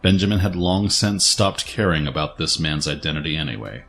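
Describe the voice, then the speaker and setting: A man's voice: a bass voice, animated, fairly quick, fairly bright tone, faint music behind. A man narrating a scripted dramatic story as its narrator.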